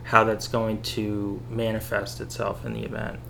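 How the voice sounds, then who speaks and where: A man interviewing a guest, asking steady, affirming questions. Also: lowish voice, normal speed, vocal fry, slight background noise.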